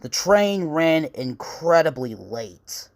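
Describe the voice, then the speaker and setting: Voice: mid-range voice, big pitch swings, slow pace. A narrator reading a scripted children's-style story for a comic dub.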